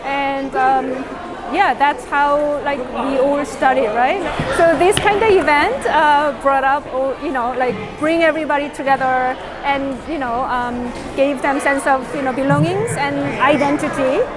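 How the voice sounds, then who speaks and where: A woman's voice: high voice, measured pace, over loud music. Woman, chatter behind. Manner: rambling, many fillers and tag questions. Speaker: school board chairperson. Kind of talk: interview answers. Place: indoor public event hall. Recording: crowd and noise behind.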